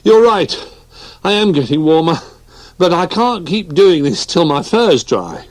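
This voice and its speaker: A man's voice: fairly high pitch, sing-song, measured pace, pitched above the performer's own voice. Man playing a whiny, soggy teddy bear. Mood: plaintive. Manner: whiny, plaintive. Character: damp, cold, plaintive male teddy bear.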